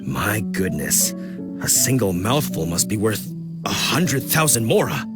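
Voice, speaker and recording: A man's voice: on the low side, very animated, unhurried, over loud music. A man voicing a grandiose, formal food judge in a video game, with theatrical delivery. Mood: astonished. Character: grandiose male food judge, solemn and easily astonished.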